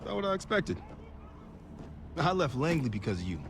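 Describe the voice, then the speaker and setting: An actor playing a bitter, reluctant military pilot in a video game, curt and resentful. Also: male voice, fairly high pitch, sing-song, a little fast, music in the background. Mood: bitter, resigned. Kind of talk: cinematic video game acting.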